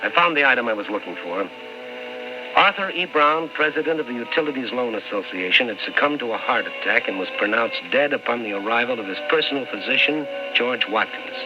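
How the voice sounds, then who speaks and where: A man's voice: mid-range voice, animated, fairly quick, over music, close to an everyday voice. A male actor playing a skeptical, dogged newspaperman in an old-time radio drama. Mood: tense narrating. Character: skeptical, dogged newspaperman narrating his investigation. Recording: muffled old radio recording.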